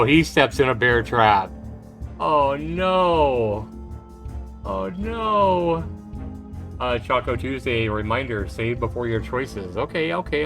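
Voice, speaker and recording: A man hosting a livestream, reading a visual novel's first-person story aloud in an expressive, suspenseful way. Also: mid-range voice. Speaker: livestream host. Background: game music.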